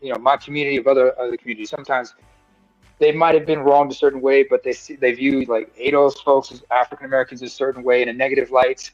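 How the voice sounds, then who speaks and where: A man's voice: mid-range voice, flat delivery, fast, no echo, muffled recording, faint music behind. A man co-hosting a live stream, talking earnestly in long, rambling thoughts full of fillers. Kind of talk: casual discussion.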